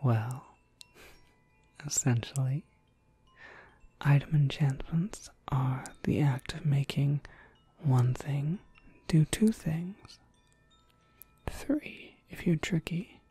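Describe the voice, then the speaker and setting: A woman playing a gentle, courteous witch shopkeeper in an ASMR roleplay, speaking in an unhurried, breathy whisper. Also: low voice, full character voice. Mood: quietly enthusiastic. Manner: unhurried, polite, softly explanatory. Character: gentle witch shopkeeper, courteous, quietly proud of her craft.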